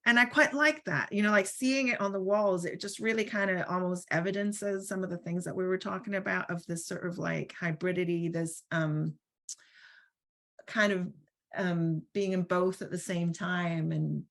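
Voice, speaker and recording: A woman's voice: lowish voice, steady tone, normal speed, a bit bright. A woman speaking in an online panel discussion, thoughtful and searching, with many restarts and fillers, heard over a video call. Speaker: roundtable speaker.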